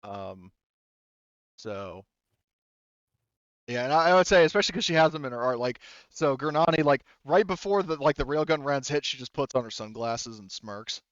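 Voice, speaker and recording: Halting male game master, smooth-voiced. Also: medium pitch, lively intonation, no echo, lo-fi sound. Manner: halting, thinking aloud. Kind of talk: narrating a tabletop game session.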